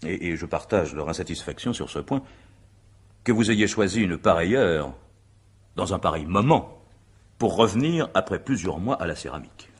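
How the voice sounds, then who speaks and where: Low-voiced, methodical examining magistrate. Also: male voice, lively intonation, slightly rough. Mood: probing, stern. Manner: formal, measured, probing, pedantic. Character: methodical, suspicious male examining magistrate questioning a witness. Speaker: actor. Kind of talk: French radio mystery play acting.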